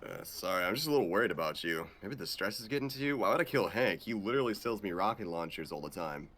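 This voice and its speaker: A man voicing an awkward, deadpan macho young action hero with a halting, casual delivery in a visual novel dub. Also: fairly low pitch. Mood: dismissive. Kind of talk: improvised visual novel dubbing.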